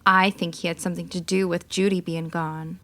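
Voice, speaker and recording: An actress playing a chatty, gossipy American housewife neighbor, earnest and folksy, in 1950s radio drama style. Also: female voice, lowish voice, level tone, a little fast, a bit bright, close to an everyday voice. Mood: gossipy, confiding.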